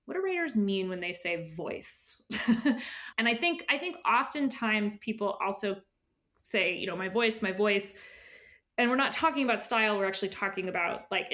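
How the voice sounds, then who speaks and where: A woman's voice: mid-range voice, fairly bright tone. A woman speaking as an editor and guest in a casual interview, informal, often dropping into asides and imagined quotes.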